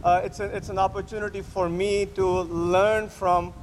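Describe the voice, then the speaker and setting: Male physician as lecturer, slightly high voice, halting delivery with restarts, long hall reverb, faint hiss. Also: flat delivery. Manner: hesitant, many restarts, repeats audience answers. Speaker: physician giving a lecture at a camp. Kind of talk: interactive lecture with audience questions.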